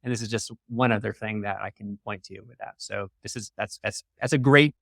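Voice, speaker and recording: A man's voice: fairly low pitch, brisk, gravelly, fairly bright tone, dry recording, clean studio sound. A man hosting a casual livestream in an affirming, encouraging manner. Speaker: host.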